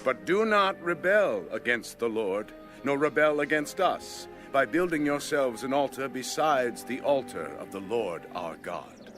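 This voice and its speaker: A man's voice: medium pitch, lively intonation, measured pace, few pauses, a bit bright, soft background music. A man voicing a stern priestly envoy in a dramatized audio Bible, speaking in a grave, accusing, declamatory way. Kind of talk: dramatized scripture reading.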